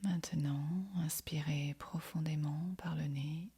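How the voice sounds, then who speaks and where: A woman guiding a yoga nidra meditation in a breathy, whispery, husky voice, speaking softly and soothingly with long pauses. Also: deep voice, steady tone, slight background noise. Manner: soft, soothing, unhurried instruction with long pauses. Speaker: meditation guide.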